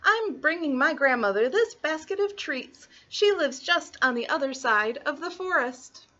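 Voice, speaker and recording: Woman playing a high-pitched, innocent little girl. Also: animated, measured pace, bright, forceful tone, lo-fi sound, heavily acted. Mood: polite.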